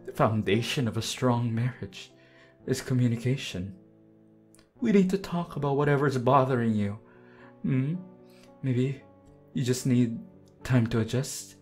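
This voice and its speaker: A man's voice: medium pitch, darkish tone, natural voice. A man playing an undead demon husband in an ASMR roleplay, obsessively loving and unhinged, theatrical in manner. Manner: theatrical, swinging from tender murmurs to desperate demands. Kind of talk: ASMR roleplay audio acting.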